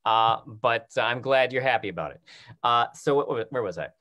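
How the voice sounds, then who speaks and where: A man around his thirties moderating a casual question-and-answer session on a video call, restarting phrases in a halting way. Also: medium pitch, animated, sharp tone. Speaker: moderator of a panel discussion. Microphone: video call computer microphone.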